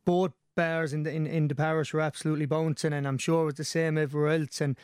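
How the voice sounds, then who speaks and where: A man with a Limerick Irish accent and a slightly hoarse voice, rambling enthusiastically in casual sports chat as a podcast pundit. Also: on the high side, level tone, fast, darkish tone, no room sound, studio quality. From Limerick, Ireland.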